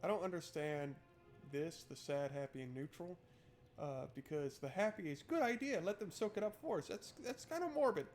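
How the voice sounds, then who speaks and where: A man's voice: fairly high pitch, lively intonation, moderate pace, soft background music. A man streaming a game, switching between reading narration aloud and casual, irreverent, sweary joking commentary.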